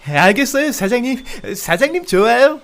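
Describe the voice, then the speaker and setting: A man's voice: very high voice, big pitch swings, moderate pace, background noise, pitched above the performer's own voice, heavily acted. A man voicing a pleading, wheedling talking deer in a comedic audio fairy tale, obsequiously polite like a salesman. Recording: outdoor nature ambience, birdsong behind.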